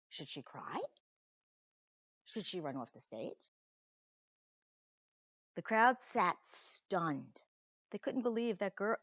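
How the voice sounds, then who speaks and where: Teacher lady reading a kids book out loud, dramatic pauses, little asides to the kids, dry kinda dull recording. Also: on the low side, dry recording. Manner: deliberate, dramatic pauses, questioning the listeners. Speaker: teacher reading aloud to pupils. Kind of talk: expressive storybook read-aloud with asides to children.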